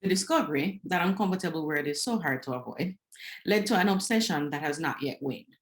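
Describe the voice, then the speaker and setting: A woman's voice: low-pitched, animated, brisk. A woman presenting an academic paper at a conference, reading from a prepared script. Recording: roomy, echoing sound.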